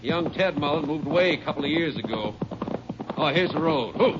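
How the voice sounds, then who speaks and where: A man's voice: on the high side, animated, brisk, natural voice. A man playing a plainspoken, folksy country sheriff in a 1950s radio western drama, talking with a drawling delivery. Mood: helpful, certain. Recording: noisy, muffled 1950 radio recording.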